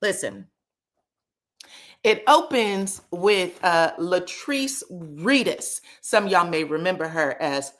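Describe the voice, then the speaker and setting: A woman's voice: lowish voice, animated, takes it slowly. A woman, chatting casually as she hosts a livestream recap and greets viewers by name. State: slightly scratchy voice. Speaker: reality TV recap livestream host.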